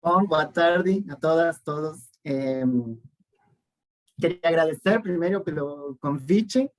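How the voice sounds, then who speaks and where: A speaker from Argentina with a Spanish accent giving an informal academic talk on a video call, halting and repeating words. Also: mid-range voice. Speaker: guest lecturer, academic and activist. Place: online Zoom call.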